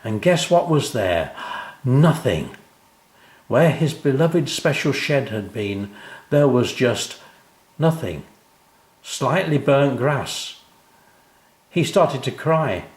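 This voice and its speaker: An older man reads a children's story as its author. His mid-pitched voice moves up and down expressively, and the room around him is echoey. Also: big pitch swings, slow pace, long pauses, darkish tone.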